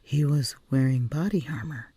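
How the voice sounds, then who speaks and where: A woman's voice: rumbling low, normal speed, warm tone, husky, no echo. A woman voicing a tough, protective female cat burglar with a curt, stern manner, in an audiobook story. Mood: probing, surprised.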